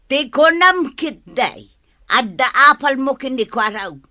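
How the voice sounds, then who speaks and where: An actress with an Ilocano accent playing a nagging, exasperated wife who scolds in a radio drama. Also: female voice, sits high, lively intonation, slow pace, sharp tone, no room sound, clean studio sound, heavily acted. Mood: scolding.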